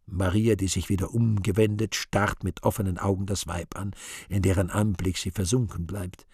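A man's voice: low voice, fairly even intonation, normal speed, a little mellow, slightly rough, dry recording, clean studio sound. A man reading scripted stage directions as a narrator in a radio play.

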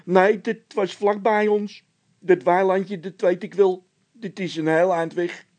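A man's voice: sits high, fairly even intonation, a little slow, a little mellow, pitched above the performer's own voice. A man voicing a whiny, jittery workman in a children's audiobook, pushy, easily frightened and full of exclamations. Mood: nervous. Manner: insistent, exclamatory.

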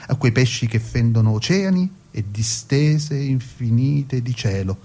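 A man's voice: medium pitch, darkish tone. A man reading his own poem as a guest, earnest and incantatory in delivery. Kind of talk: poetry recitation.

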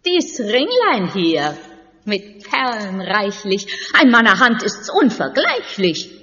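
A woman's voice: mid-range voice, wildly swooping pitch, slow, pushed and bright, full character voice. An actress playing a coquettish, haughty stage leading lady with a theatrical delivery in a German audio drama. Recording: dull sound in a reverberant hall.

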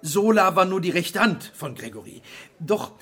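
Husky police official. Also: male voice, high-pitched, expressive, moderate pace, pushed and bright, heavily acted. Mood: explanatory. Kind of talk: radio crime drama acting.

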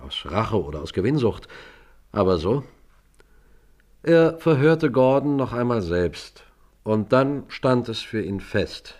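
An actor playing a calm, methodical police sergeant in a matter-of-fact, probing way in a German radio crime drama. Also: male voice, sits low. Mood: measured narration.

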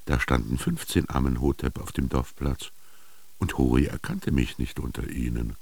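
An older man playing a wry, earthy old Egyptian former goatherd who reminisces and philosophizes in a radio drama, slowly and with dramatic pauses, his voice gravelly and husky. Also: very deep voice, heavily acted. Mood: wry reminiscing. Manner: slow, brooding, expressive storytelling with dramatic pauses. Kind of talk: literary radio drama monologue.

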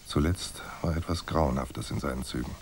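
An actor playing a cool, unflappable private detective who narrates a noir radio drama in a dry, laconic way. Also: male voice, a bass voice, normal speed, a little hiss or hum. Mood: cool, matter-of-fact. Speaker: first-person narrator and detective.

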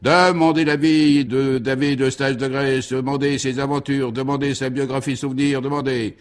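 A man's voice: medium pitch, level tone, normal speed, voice pushed up, natural voice. A man voicing a street hawker who cries souvenir pamphlets with sing-song patter in a comic radio serial. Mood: calling out.

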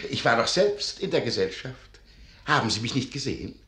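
Eccentric old archivist wizard, imperious, declamatory, animated, echoey radio drama sound. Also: male voice, medium pitch, unhurried. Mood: sly, amused. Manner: theatrical, declamatory. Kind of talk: German radio drama acting.

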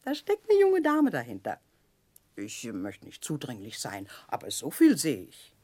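A woman playing a nosy, sly, middle-aged landlady in a 1960s radio drama, confiding and theatrical in a husky, breathy voice. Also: extremely low pitch, wildly swooping pitch, a little slow, mellow, dry recording, exaggerated voice. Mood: confiding, meddling. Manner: confiding, theatrical, motherly advice-giving.

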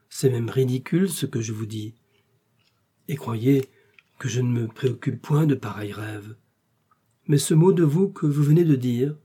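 A man acting out a lovestruck, reckless duke from a period romance. His voice is very dark and slightly husky, and he declaims with fervent, pleading passion and a melancholy streak. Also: medium pitch. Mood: fatalistic.

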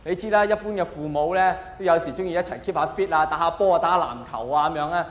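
A man's voice: a little high, fast talker. A man with a Hong Kong Cantonese accent delivering a colloquial stand-up monologue, full of exaggeration and rhetorical questions. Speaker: stand-up comedian. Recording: echoey hall, faint background noise.

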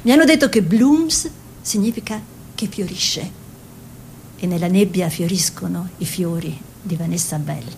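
A woman's voice: unhurried, vocal fry, a little mellow. An actress playing a spirited, plain-spoken peasant-born woman painter in a conversational, dreamy piece of theatrical radio storytelling. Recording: noisy with low rumble.

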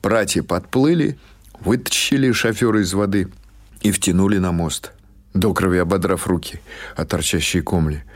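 A man's voice: deep voice, normal speed. A man reading literary fiction aloud as a scripted audiobook narration.

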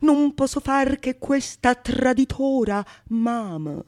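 A pining, foolish male lover alone on stage. The voice is very high and moves at a heavily drawn-out pace. The delivery is declamatory and brooding, in ornate old theatrical Italian. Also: darkish tone. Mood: brooding, yearning. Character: lovesick, foolish man pining and scheming with magic. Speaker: stage actress. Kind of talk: theatrical soliloquy in archaic literary Italian.

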